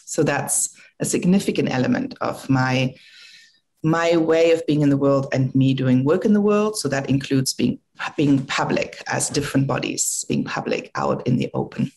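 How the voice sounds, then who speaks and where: German-accented older woman with gravelly voice. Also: very deep voice, normal speed, a little mellow, poor audio. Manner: reflective, thinking aloud with frequent restarts and fillers. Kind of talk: conversational talk. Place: home room, video call. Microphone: video call.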